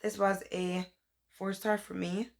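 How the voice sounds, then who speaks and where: Hoarse young woman with a cold. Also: medium pitch, flat delivery, slow. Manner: chatty, rambling, says "like" and "um" often. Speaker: book vlogger. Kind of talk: casual book review vlog.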